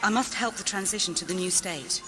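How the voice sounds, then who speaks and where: An actress playing an earnest, idealistic rebel in a science-fiction audio drama, solemn and visionary. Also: female voice, medium pitch, a little fast, close to an everyday voice. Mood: solemn, determined. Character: earnest, idealistic woman rebel with mystical conviction. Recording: music behind the voice.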